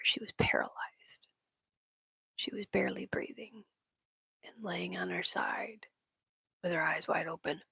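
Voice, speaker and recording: Breathy whispering woman, gravelly. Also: mid-range voice, no room sound. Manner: soft whispering, chatty, laughs often. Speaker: livestream host. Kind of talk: whispered casual chat with viewers.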